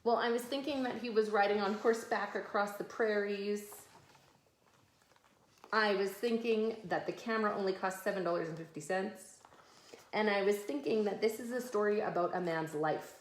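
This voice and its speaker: A woman's voice: mid-range voice, moderate pace. A woman reading a picture book aloud as a mother to children, explaining things patiently in simple, conversational words. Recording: echoey room.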